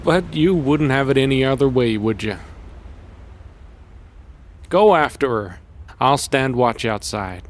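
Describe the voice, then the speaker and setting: A man playing a wry, knowledgeable older companion in an audio drama, explaining and gently needling. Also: medium pitch, expressive, takes it slowly, pauses often, slight background noise. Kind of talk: conversational audio drama acting.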